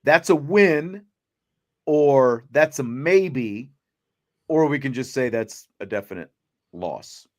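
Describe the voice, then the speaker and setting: A man's voice: medium pitch, animated, slow, long pauses. Man hosting unscripted sports talk. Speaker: sports talk show host.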